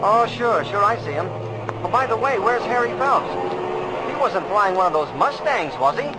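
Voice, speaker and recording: An actor playing an eager, earnest young pilot in a radio adventure serial, breezy and slangy in a boyish way. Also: male voice, high voice, animated, moderate pace, over loud music. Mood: curious, concerned. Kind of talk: old-time radio adventure serial acting. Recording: noisy old radio recording with engine drone.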